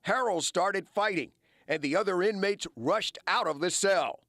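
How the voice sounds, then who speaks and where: Male TV investigative reporter, American accent, fairly high-pitched, delivering broadcast news copy. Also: normal speed, pushed and bright, no room sound, studio quality.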